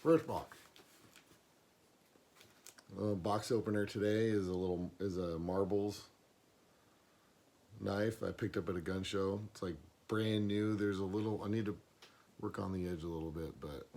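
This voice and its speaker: Man, hoarse, lowish voice, rambling, lots of ums, casual vlog, camera mic. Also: fairly even intonation, unhurried, long pauses, a little mellow. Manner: rambling, many fillers and restarts. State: hoarse, losing his voice. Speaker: pipe smoking vlogger. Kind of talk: casual box opening vlog. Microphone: camera mic, no external mic.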